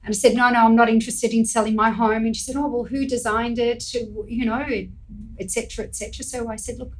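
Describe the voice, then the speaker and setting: A woman's voice: fairly high pitch, fast. Chatty woman telling her story. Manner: chatty storyteller, animated when recounting events. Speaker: home stager and interior designer. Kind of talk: casual interview talk.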